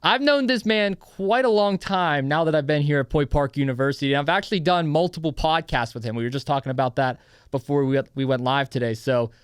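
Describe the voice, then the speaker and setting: A man's voice: a little high, a little fast, clean tone, no echo. A young man hosting a podcast in a university studio, with friendly, announcer-like introductions. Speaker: podcast host. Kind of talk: casual interview hosting.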